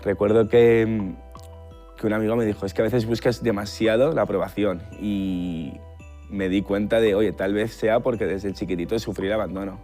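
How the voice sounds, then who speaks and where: Low-voiced reflective man. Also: fairly even intonation, moderate pace, warm tone, faint music behind. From Russia. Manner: reflective, self-searching. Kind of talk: documentary interview testimony.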